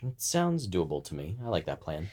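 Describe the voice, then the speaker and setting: A man's voice: sits low, sing-song, normal speed, darkish tone. A man co-hosting a podcast as its producer, asking questions and talking conversationally.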